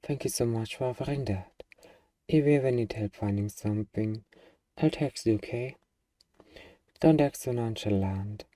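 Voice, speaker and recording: A man playing a gruff, defensive young zombie-apocalypse survivor with anxiety underneath, in an ASMR roleplay. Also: mid-range voice, moderate pace, long pauses, dark tone, no room sound, recorded from a distance, lightly acted. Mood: anxious, hesitant.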